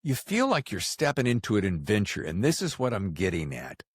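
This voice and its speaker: A middle-aged American man hosting a podcast, chatting casually in a folksy, animated way. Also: fairly low pitch, fairly quick, slightly rough, no echo, clean studio sound. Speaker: podcast host. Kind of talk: casual interview chat.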